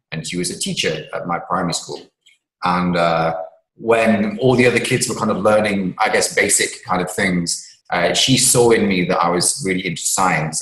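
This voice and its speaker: A man's voice: low-pitched, fairly bright tone, reverberant, poor audio. A man from England, an author and scientist, answering interview questions at length with many ums and restarts.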